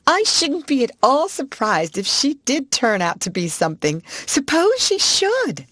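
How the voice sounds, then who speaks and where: A woman's voice: high-pitched, sing-song, a little slow, a bit bright, no echo, clean studio sound, pitched above the performer's own voice, full character voice. Woman doing a giggly, gossiping schoolgirl. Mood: gossipy.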